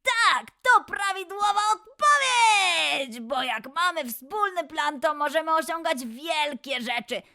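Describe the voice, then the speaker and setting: A woman playing a forgetful, excitable young male lion who frets and exclaims in a children's audio quiz show. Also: high voice, heavily acted. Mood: excited. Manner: fretful, exclaiming, self-doubting. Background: music.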